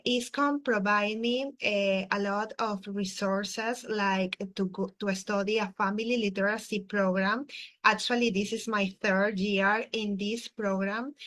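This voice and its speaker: A woman from Venezuela, introducing herself as an adult learner in a careful, halting way with many pauses. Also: mid-range voice, a bit bright. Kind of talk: prepared self-introduction.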